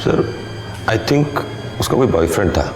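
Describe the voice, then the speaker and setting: An actor playing a troubled, evasive suspect in a TV crime drama, hesitant and defensive, slipping in English phrases. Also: male voice, on the low side, very animated, measured pace. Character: evasive, troubled male murder suspect under interrogation. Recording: loud dramatic background music.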